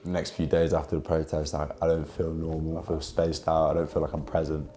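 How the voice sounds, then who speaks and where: A young man of twenty speaks in a very low register with a dark, relaxed tone. His delivery is earnest and heartfelt, like personal testimony for a documentary. There is faint noise behind him. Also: brisk. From Jordan and the UK. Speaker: documentary subject telling his family's story.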